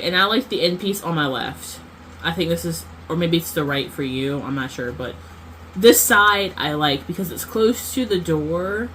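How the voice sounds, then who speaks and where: A woman's voice: lowish voice, a little hiss or hum, low-quality recording. A woman vlogger rambling through a casual monologue, full of fillers and self-corrections.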